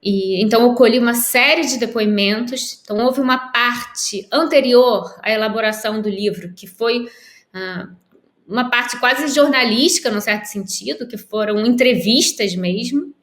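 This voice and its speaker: A Brazilian woman author, a guest on a remote interview, answering in a thoughtful, measured way with pauses and restarts. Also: on the high side, a bit bright. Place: at home in Lisbon, by a window. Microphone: video call. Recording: echoey room on a video call.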